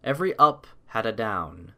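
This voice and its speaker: A man reading a story aloud as scripted audiobook narration, in a measured, literary storytelling manner. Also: on the low side, animated, sharp tone.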